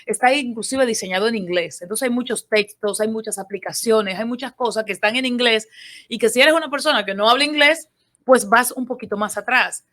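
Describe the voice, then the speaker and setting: A Dominican woman co-hosts a radio talk panel in a fairly high voice. She talks conversationally, often jumping in to finish the others' phrases. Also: brisk, a bit bright. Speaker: radio show co-host.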